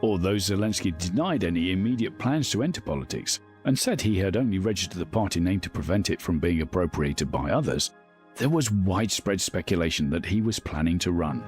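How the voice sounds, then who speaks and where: A man's voice: sits low, lively intonation, a little fast, a little mellow, soft background music. A man reading a scripted biographical narration as a documentary narrator.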